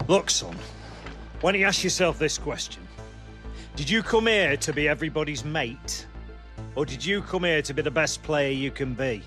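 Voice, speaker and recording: An actor playing a stern older football coach in a game drama, speaking bluntly and with gruff authority. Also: male voice, fairly high pitch, expressive, moderate pace, pushed and bright. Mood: challenging. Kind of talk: dramatic video game cutscene acting. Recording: music behind the voice.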